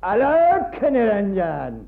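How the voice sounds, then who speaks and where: Chanting, slow-drawling wandering holy man. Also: high-pitched, huge pitch swings, creaky, full character voice. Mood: benevolent, greeting. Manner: chanting, drawn-out calls, patronising blessings. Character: wandering holy man, male ascetic guest, blessing loudly. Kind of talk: radio play acting. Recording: muffled narrow-band radio recording.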